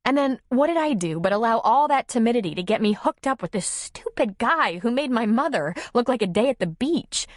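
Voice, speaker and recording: A woman voicing a tearful, timid young single mother who confides haltingly in an audiobook story. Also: fairly high pitch, animated, dry recording, clean studio sound, pitched above the performer's own voice, close to an everyday voice. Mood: emotional confession. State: tearful, sniffling.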